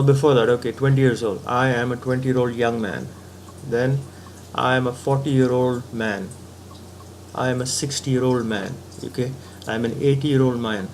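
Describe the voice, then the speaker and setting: A man's voice: mid-range voice, a little hiss or hum. A man giving a patient philosophical talk, reasoning step by step and often checking in with the listener. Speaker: spiritual teacher.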